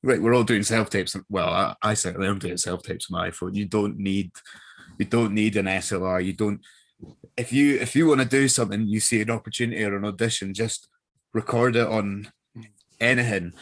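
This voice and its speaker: A man's voice: on the low side, fairly even intonation, a little fast. A man chatting casually and giving advice as a guest voice actor, informal and emphatic, often repeating himself.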